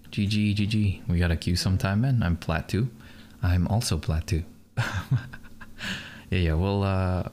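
A man's voice: low-pitched, darkish tone, a little hiss or hum. A young man from Malaysia, streaming and chatting casually with his viewers, laughing often.

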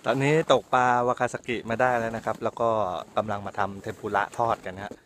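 A middle-aged man talking casually and excitedly to a camera held at arm's length, outdoors on a frozen lake in the snow. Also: on the low side, brisk, slight background noise. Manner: excited, chatty, exclaiming. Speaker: travel vlogger. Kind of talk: casual travel vlog.

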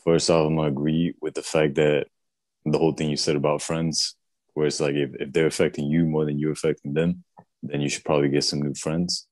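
A man who grew up in Florida and Puerto Rico, speaking relaxed and matter-of-fact in a podcast chat. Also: a bass voice, brisk, dry recording.

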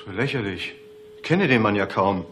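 A man's voice: lowish voice, lively intonation, moderate pace, fairly bright tone, slight background noise. An actor playing a pompous, touchy police director in a radio play, officious and indignant in his delivery. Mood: indignant, evasive. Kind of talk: German radio play acting.

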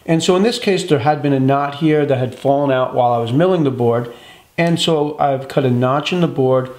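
A man in his thirties calmly walking through the steps of a woodworking project as a channel host, recorded in his workshop. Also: medium pitch, a little fast. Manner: calm step-by-step explaining. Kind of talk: instructional workshop narration. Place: woodworking shop, indoors. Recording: echoey workshop room.

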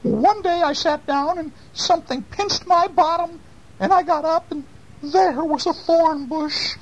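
A woman voicing a fretful, tearful old lady from a children's storybook, with a wavering, drawn-out delivery. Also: highs cut off. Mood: tearful, plaintive. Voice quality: high, wavering.

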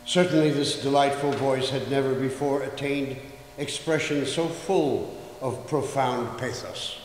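An older man in his seventies, a Polish speaker too, giving a measured, theatrical formal reading on stage. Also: medium pitch. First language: English and Polish, Polish first language. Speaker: actor and reader in a recital. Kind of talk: formal dramatic reading of letters. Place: concert stage in a hall. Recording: echoey hall with audience noise.

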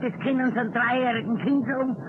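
An actress playing an indignant, meddling neighbour who complains emphatically with a Bavarian accent in a radio play. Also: female voice, on the high side, steady tone, fast talker, lightly acted. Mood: scornful, determined. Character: indignant, meddling female neighbour, nagging gossip. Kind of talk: 1960s German radio play acting. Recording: tinny, noisy old radio recording.

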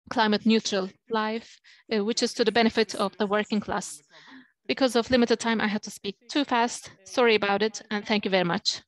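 Woman interpreter, fairly high voice, halting delivery with fillers, video call audio. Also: poor audio. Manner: hesitant, frequent fillers.

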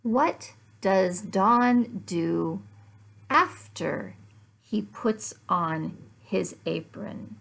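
A middle-aged woman, an English teacher, giving a lesson in deliberate, word-by-word phrases with repeated questions and answers. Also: mid-range voice, expressive, vocal fry, fairly bright tone. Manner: deliberate, word-by-word enunciation for learners. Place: small furnished room at home.

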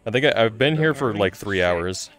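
A young man chatting casually while gaming, excitable and quick to swear. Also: on the low side, over music. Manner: excitable, profane. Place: virtual reality game voice chat.

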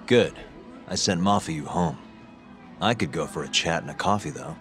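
A man's voice: sits low, normal speed, fairly bright tone. A man playing a defiant ex-lawyer detective in a video game drama, with a laid-back, sardonic, probing manner. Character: cocky, defiant male ex-lawyer turned detective. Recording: music under the dialogue.

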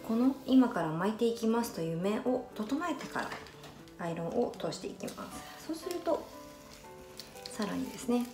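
A woman's voice: on the high side, animated, normal speed. A young woman from Niigata, a hairstylist, giving a polite, explanatory tutorial while styling her own hair with a curling iron. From Niigata, Japan. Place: small bright room indoors. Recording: roomy sound with music behind.

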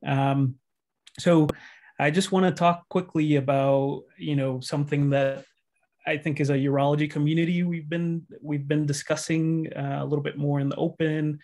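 Gravelly, flat-toned man on a video call. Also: fairly high pitch, normal speed. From Colombia. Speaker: urologist, faculty member. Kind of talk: self-introduction on a video call.

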